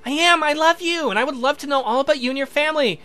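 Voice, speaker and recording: Man putting on a high, eager young lady. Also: fast talker, bright, forceful tone, soft background music. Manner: eager, sweet, self-assured. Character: willful young lady, adoring bride of a vampire count. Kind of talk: voiced visual novel dialogue.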